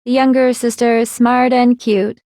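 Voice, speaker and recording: Smug, high-voiced girl mastermind played by an actress. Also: little pitch movement, unhurried, warm tone, slight background noise, close to an everyday voice. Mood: playful, smug. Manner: composed, condescending, explanatory. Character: smug, coldly analytical teenage girl mastermind villain. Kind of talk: anime video game character acting.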